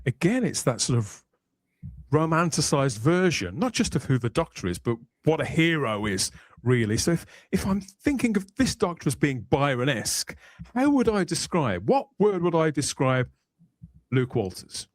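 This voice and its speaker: A man's voice: a little high, sing-song, moderate pace, no room sound. A man hosting a casual panel podcast, chatting in wordy, rambling phrases as he presents and introduces guests. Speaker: podcast host.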